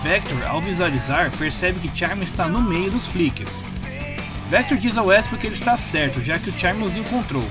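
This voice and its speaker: A man's voice: high voice, lively intonation, moderate pace. A man with a Brazilian accent reading a scripted retelling of a comic story as narrator. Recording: music bed behind the voice. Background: clear background music.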